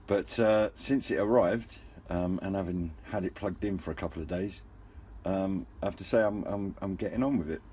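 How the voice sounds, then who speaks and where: A man's voice: sits low, moderate pace, a little mellow. A man giving a casual, unscripted hobby review as the host, in a hesitant, rambling and understated way. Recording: faint background noise.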